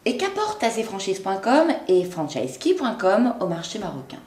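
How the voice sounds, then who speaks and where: Woman news anchor, animated pitch, clear measured read, hosts interviews. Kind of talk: scripted news narration.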